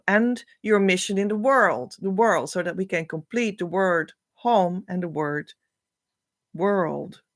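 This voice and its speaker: A woman with a Dutch accent, a spiritual coach thinking aloud as she reads meaning into letters, with many pauses and hesitations. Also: medium pitch, a bit bright, dry recording, studio quality. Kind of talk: casual spiritual reading.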